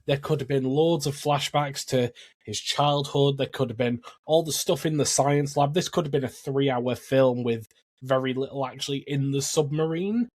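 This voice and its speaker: Mid-pitched man chatting on a podcast. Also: normal speed, a little mellow. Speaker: podcast panellist. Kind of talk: casual chat.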